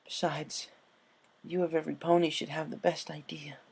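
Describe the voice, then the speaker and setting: Storybook reading: male narrator playing a broken young pony mare, raised pitch, halting, tearful, haunted delivery. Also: little pitch movement, gravelly, slightly rough. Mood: shaken, haunted. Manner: halting, sobbing, stammering delivery.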